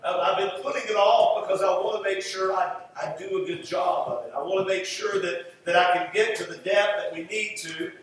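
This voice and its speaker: High-voiced male preacher in an echoey room. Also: steady tone, a little fast, few pauses. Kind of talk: conversational sermon, Bible teaching. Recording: roomy echo, distant mic, faint noise.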